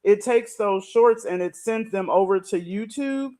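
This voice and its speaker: A man's voice: high-pitched, a little slow, darkish tone. A man explaining things casually as a podcasting guest.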